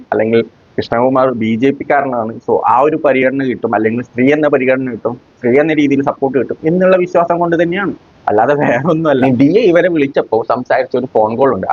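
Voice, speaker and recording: A man's voice: mid-range voice, darkish tone, dull audio. A man with a Kerala accent giving scornful, mocking, opinionated commentary as a YouTube commentator. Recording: rough, band-limited, roomy sound.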